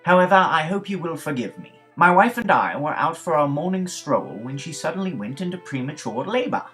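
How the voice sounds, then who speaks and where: Posh english gent, pompous n super polite, loud and pitched up, music behind. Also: male voice. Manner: pompous, florid, old-fashioned phrasing. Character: posh, effusively polite English gentleman letter-writer. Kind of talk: theatrical letter reading in animated story.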